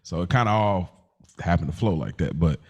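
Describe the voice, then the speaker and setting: Low-voiced American man chatting casually. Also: expressive, moderate pace, a little mellow, slightly rough, dull audio. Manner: informal, slangy. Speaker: podcast co-host. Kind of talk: casual banter and chat between friends.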